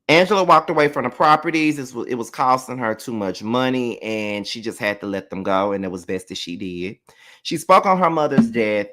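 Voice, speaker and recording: Man, thirties, low voice; salty, slangy TV recap host who talks loose and animated. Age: 30s. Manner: animated, swears a lot, slangy and chatty. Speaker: reality TV reviewer. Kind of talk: casual live recap commentary.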